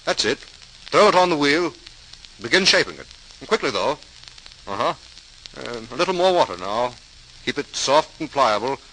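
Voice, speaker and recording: An actor playing a patient, responsible older brother who instructs practically, in a 1940s radio drama. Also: male voice, medium pitch, very animated, moderate pace, pauses often, pushed and bright, poor audio. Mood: patient, brisk. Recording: hissy 1940s radio recording.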